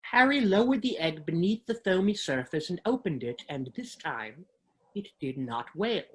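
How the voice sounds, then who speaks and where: A woman's voice: lowish voice, very animated, moderate pace, husky, low-quality recording. A woman actor giving a dramatic reading from a book.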